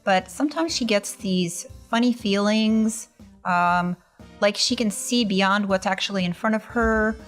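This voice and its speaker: A woman speaks casually as a tabletop game player. Her voice sits at a middle pitch, with halting explanations over faint music. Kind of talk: casual character introduction and table talk.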